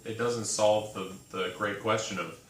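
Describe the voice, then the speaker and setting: A man's voice: on the low side, fairly even intonation, a little slow, a little hiss or hum. A man co-hosting a casual chat, often chiming in with short agreements between his own stories. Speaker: podcast co-host. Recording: echoey room, mic at a distance.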